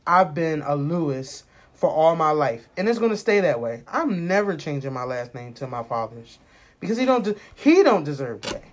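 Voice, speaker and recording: American podcast host, late twenties, casual rambling talk, candid, emphatic, profane, medium pitch. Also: lively intonation, normal speed. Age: late 20s.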